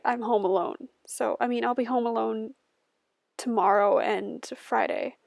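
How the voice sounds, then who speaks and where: Giggly young woman with a gravelly edge. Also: on the high side, a little mellow, dry recording, studio quality. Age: 20s. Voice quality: stuffy-nosed. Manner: rambling, giggly, chatty. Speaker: YouTuber. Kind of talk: casual voice-over chat over a game build. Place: at home.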